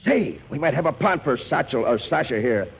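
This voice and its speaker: A man's voice: mid-range voice, very animated, normal speed, heavily acted. A man playing a brash, bossy, broke theatrical producer full of schemes, in slangy 1940s radio comedy style. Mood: coaxing. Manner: bossy, slangy, smooth-talking. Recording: dull 1940s radio recording with hiss.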